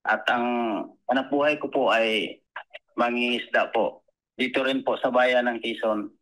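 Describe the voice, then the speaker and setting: Bright-voiced Filipino man, halting and polite. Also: medium pitch, fairly even intonation, low-quality recording. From Philippines. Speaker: commercial fisherman, interview guest. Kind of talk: conversational interview answers.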